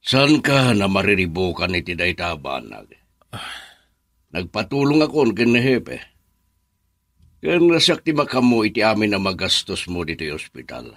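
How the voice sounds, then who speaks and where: An authoritative boss with a low voice that moves widely in pitch. He sounds grave and dramatic in Ilocano radio drama style, with a Filipino accent and a close, dry recording. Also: sing-song, moderate pace, fairly bright tone, no echo, full character voice. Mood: concerned, insistent. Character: authoritative, concerned male boss addressed as sir.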